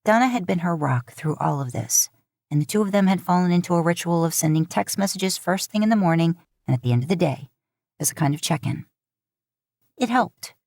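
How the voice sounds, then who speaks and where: A woman narrating scripted fiction in an audiobook style, with measured, deliberate phrasing into a close mic. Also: on the low side, expressive, a little mellow, no echo. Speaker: podfic narrator.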